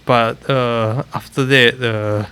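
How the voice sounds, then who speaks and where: A man with a nasal, congested voice from a recent illness, giving halting, casual commentary on a game live stream. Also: mid-range voice, steady tone, pushed and bright, smooth. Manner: halting, hesitant, often trailing off. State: congested, recovering from being sick.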